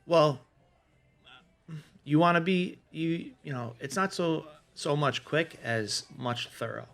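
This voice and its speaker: A man's voice: fairly high pitch, slow, vocal fry, a bit bright. A man talking casually as a podcast panelist, laying out practical management advice point by point in a conversational, explanatory way.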